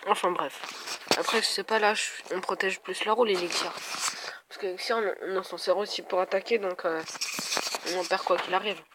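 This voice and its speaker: A man's voice: sits high, fairly even intonation, normal speed, background noise. Man with a head cold, thinking aloud. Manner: thinking aloud, hesitant, talks to viewers. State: stuffed up with a cold. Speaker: gaming video creator. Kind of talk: casual gameplay commentary.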